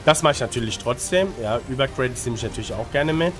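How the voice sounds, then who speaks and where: A man's voice: medium pitch, expressive, fast talker. Male gaming streamer, slightly congested, casual colloquial tips, English gamer slang, music behind the voice. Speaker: gaming guide creator and streamer. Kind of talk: casual gameplay commentary with tips. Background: music under the commentary.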